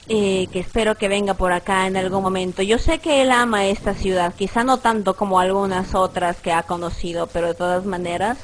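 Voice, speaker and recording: A woman's voice: mid-range voice, slight background noise, poor audio. Chatty nasal woman saying goodbyes. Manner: chatty, laughing, rambling goodbyes. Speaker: guest. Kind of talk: casual online radio chat.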